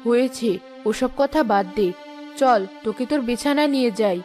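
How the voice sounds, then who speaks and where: Actress as a gentle high-voiced mother. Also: moderate pace, faint music behind, lightly acted. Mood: tender. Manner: soothing, maternal. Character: gentle, protective mother returned as a spirit. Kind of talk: Bengali cartoon voice acting.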